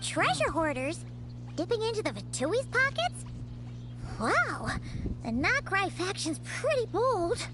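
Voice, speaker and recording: A woman voicing a tiny, excitable, chatty floating girl companion in a video game, with a chirpy, exclamatory manner. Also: extremely high pitch, takes it slowly, background noise, heavily acted. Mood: surprised, chatty.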